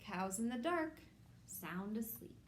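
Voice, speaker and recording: A woman hosting a children's storytime, reading a picture book aloud in simple, measured phrases addressed to young children. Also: on the high side, animated, slight background noise. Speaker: children's storytime host at a public library.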